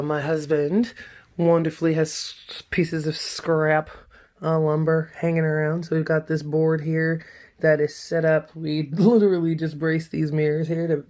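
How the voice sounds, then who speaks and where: A woman's voice: deep voice, darkish tone. A woman who works as a stage actor, speaking casually and explaining things in a conversational way. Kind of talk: casual spoken interview.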